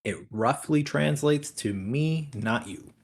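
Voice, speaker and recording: A man's voice: fairly high pitch, takes it slowly, breathy edge. A man with a slight lisp, chatting casually as a guest on a live stream, his voice worn from a long day of talking. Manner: self-deprecating, swears casually.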